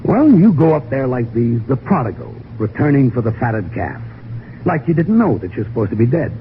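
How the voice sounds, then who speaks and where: A dull, faintly noisy old radio recording features an actor as a canny, steady sidekick. He is a lively-voiced man who coaxes and reasons in plain, slangy mystery-show talk. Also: medium pitch, moderate pace, lightly acted. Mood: coaxing. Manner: coolly reasoning, colloquial, persuasive. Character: shrewd, steady detective-like confidant, coaxing and practical.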